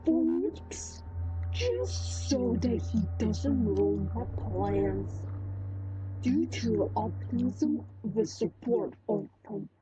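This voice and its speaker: A woman voicing a menacing, scornful dark lord in a comic dub, grandiose, with a distortion effect flipping side to side. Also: a little high, animated, drawn-out words. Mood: scheming. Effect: distortion flipping between left and right. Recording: muffled, distorted, music behind.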